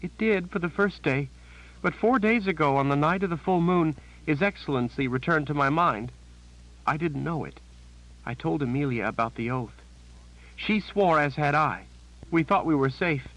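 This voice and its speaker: A man's voice: on the high side, animated, moderate pace, a bit bright, voice pushed up, low-quality recording. A man voicing a frightened, pained captive woman who speaks in a trembling whisper.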